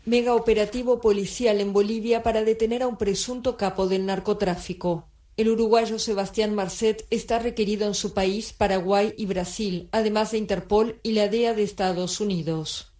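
A woman with a mellow, dark voice of medium pitch reads a scripted news report. She narrates as an agency reporter. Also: fairly even intonation, moderate pace.

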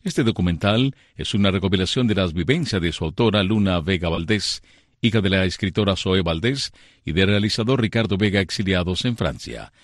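A man reading a radio news bulletin as anchor, in a measured, formal delivery. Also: sits low, animated, no echo, studio quality.

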